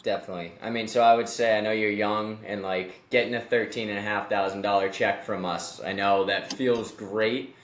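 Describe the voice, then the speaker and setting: A man's voice: on the low side, fairly even intonation, normal speed, hardly any pauses, reverberant. A man in his late twenties hosting a casual remote interview, upbeat and encouraging, chatty with fillers, on a video call. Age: late 20s. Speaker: interview host, company executive.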